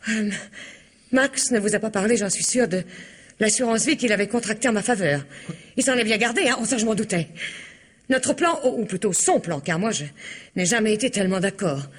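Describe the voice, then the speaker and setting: A woman's voice: medium pitch, lively intonation, fairly quick, husky. Cunning wife turning on her husband, vintage radio play, feverish, mid voice. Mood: scheming. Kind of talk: 1960s French radio drama.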